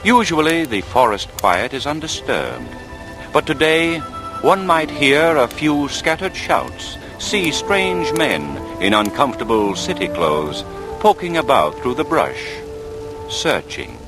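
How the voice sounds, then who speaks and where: Ominous storyteller, wide dramatic intonation, wry, measured, suspenseful, vintage radio narration, music behind. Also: male voice, mid-range voice, big pitch swings, poor audio. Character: ominous, wry storyteller setting a murder scene. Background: music under the voice.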